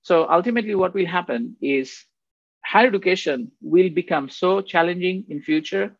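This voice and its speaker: A man's voice: high-pitched, lively intonation, moderate pace, creaky, dry recording. Man lecturing over a dull video call. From Bangladesh. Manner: rambling, conversational, frequent "right?" tags. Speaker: guest speaker. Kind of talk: faculty development lecture. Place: home office.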